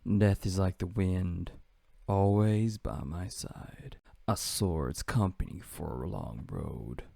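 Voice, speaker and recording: A man voicing a weary, solemn wandering swordsman from a video game, measured and brooding. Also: low-pitched, creaky, warm tone, dry recording, heavily acted. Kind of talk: video game character impressions.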